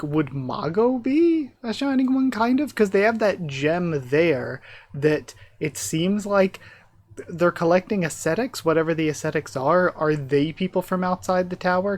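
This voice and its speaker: A man's voice: sits high, lively intonation, moderate pace. A man giving a casual live reaction as host, thinking aloud and speculating as he goes. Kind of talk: live reaction, reading comic dialogue aloud with casual commentary.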